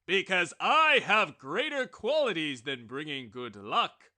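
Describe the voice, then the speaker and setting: Guy doing a bragging wishbone, loud and lecturing, swoopy high voice. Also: very animated, slow, full character voice. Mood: pompous. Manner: grand, lecturing, loud proclamations. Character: boastful pompous male wishbone, self-important.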